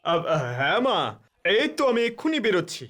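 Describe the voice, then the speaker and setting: A man's voice: high voice, sing-song, fairly quick, a bit bright. A man playing an affectionate young village husband and dutiful son in a dramatised story. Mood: flustered. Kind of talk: dramatised horror story acting.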